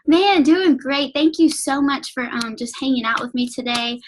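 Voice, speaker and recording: A woman hosts a casual interview with a bright, high voice. She sounds upbeat and gushing. She draws out her words in a friendly way. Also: moderate pace, a little hiss or hum. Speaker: host. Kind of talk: interview host chat.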